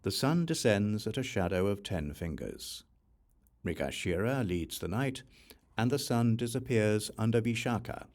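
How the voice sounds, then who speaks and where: A man with a low voice reads a scripted religious text as an audiobook narrator. His delivery is measured and formal, with lively rises and falls in pitch as he recites. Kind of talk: scripted reading of a Buddhist scripture.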